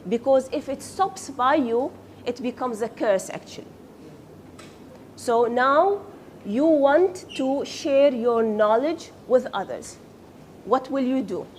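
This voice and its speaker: A woman's voice: high voice, animated, slow, darkish tone, a little hiss or hum. A woman whose first language is Arabic, teaching a class and quizzing her students, then praising their answers. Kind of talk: classroom lecture with questions.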